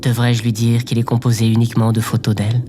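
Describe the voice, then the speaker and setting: A man's voice: mid-range voice, monotone, quick pace, background noise, close to an everyday voice. A man playing an earnest, self-effacing podcaster with a chatty, theatrical delivery in an audio drama. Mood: wistful. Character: earnest, insecure, lovelorn amateur podcaster.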